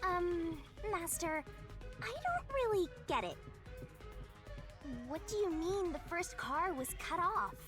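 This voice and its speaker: A woman's voice: very high-pitched, animated, slow, music in the background, full character voice. A woman voicing a perky, bossy female spirit sidekick in an anime-style video game, with a theatrical, teasing delivery. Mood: baffled.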